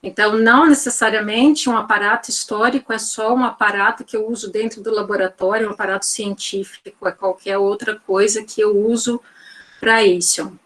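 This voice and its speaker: A woman's voice: medium pitch, fairly even intonation, a little fast, fairly bright tone. A woman with a Northeastern Brazilian accent giving an online physics-education lecture over a video call, talking in a loose, thinking-aloud way full of "né" and "então".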